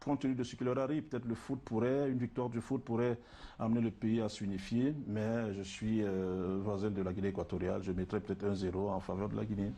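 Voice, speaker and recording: Chatty Cameroonian man, low mellow voice. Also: flat delivery, a little fast. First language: a Cameroonian language. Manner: chatty, hesitant with many fillers, asides. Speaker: football pundit. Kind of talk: studio sports talk.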